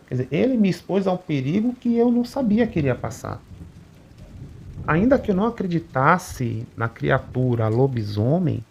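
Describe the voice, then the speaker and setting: A man narrating a first-person supernatural account in a conversational, unhurried way, often adding little tag questions. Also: fairly high pitch, creaky, darkish tone, husky. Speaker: channel narrator reading a listener's account. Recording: muffled recording with faint rain behind.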